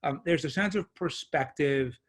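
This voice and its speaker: A man's voice: fairly high pitch, moderate pace. A man, a venture investor, speaking on a video call and laying out his points one by one. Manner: organized, lays out numbered points. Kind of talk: panel discussion on a video call.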